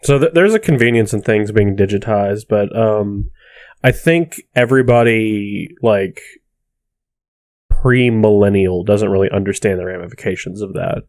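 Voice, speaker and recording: A man's voice: lowish voice, normal speed, darkish tone, no echo. A young man chatting casually and wryly as a podcast co-host. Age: young adult.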